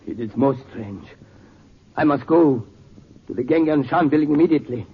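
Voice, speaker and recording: An actor playing a grave young foreign heir, with stiff formal phrasing, in a 1940s radio drama. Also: male voice, medium pitch, lively intonation, moderate pace, breathy edge. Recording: muffled narrow-band 1940s radio recording.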